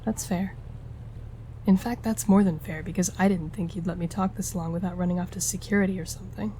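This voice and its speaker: A woman's voice: fairly low pitch, steady tone, brisk, dark tone, a little hiss or hum, lightly acted. A woman playing a charming, flirtatious gambler and stowaway in an intimate audio roleplay, teasing and conversational as she speaks to the listener. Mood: earnest, hopeful. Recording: music behind the voice.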